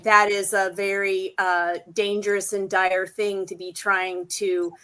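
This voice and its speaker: A woman speaking earnestly and deliberately in an unscripted discussion. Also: medium pitch, flat delivery, bright, forceful tone, smooth.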